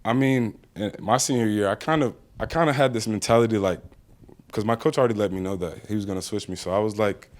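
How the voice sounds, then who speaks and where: A young American man with a lowish voice answers reporters' questions at a press conference. His talk is casual and plainspoken, full of small fillers as he works out what to say. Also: fast talker. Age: young adult. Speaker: college football player.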